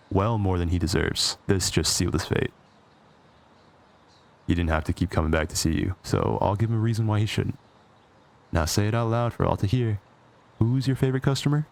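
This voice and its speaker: Casting: male actor for cocky villain with a soft spot, low and creaky, conversational, sarcastic, close ASMR roleplay. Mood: jealous, defiant. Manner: teasing, sardonic, conversational. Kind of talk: intimate ASMR roleplay acting.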